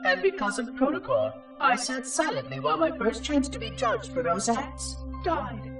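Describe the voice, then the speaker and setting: A man's voice: very high voice, very animated, normal speed. A man voicing an ancient, fussy, curious robotic monitor in a video game, with precise, formal, theatrical delivery and a synthetic processed sound. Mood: solemn, regretful. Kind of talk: video game cutscene log narration. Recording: distant, echoing, music underneath. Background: music.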